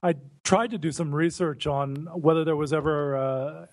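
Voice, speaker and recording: An American man with a fairly high voice chats casually on a podcast. He tells stories in an amused way and stumbles a little as he gets his sentences going. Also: fairly even intonation, normal speed, darkish tone. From United States. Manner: chatty, stumbling starts, amused.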